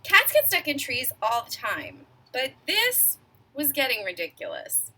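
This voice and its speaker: Bright, lively woman reading to kids. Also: sits high, measured pace, slight background noise. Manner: expressive, asks young listeners questions. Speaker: storyteller reading a picture book. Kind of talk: children's read-aloud.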